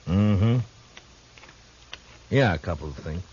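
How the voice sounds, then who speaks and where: An actor playing a steely, unflappable marshal in a 1950s American Western radio drama, terse, dry and deadpan. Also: male voice, sits low, takes it slowly. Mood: stern, probing. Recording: muffled, hissy 1950s radio recording.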